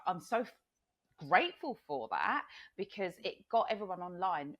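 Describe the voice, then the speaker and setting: A hoarse woman from England, a podcast guest, telling her story in a chatty way with frequent laughs and fillers. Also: on the low side, big pitch swings, normal speed, a bit bright. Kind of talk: casual interview storytelling.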